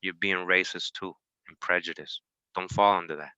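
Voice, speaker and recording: A man's voice: sits low, fairly even intonation, moderate pace, sharp tone, clear, resonant tone, no room sound, low-quality recording. A man from Costa Rica in a casual discussion, speaking informally and often adding "you know.".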